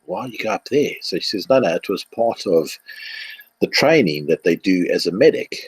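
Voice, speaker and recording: A man's voice: low voice, moderate pace, husky, dry recording. A man with a South African accent, telling stories in a casual podcast chat and laughing often. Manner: animated storyteller, laughs often. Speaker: co-host.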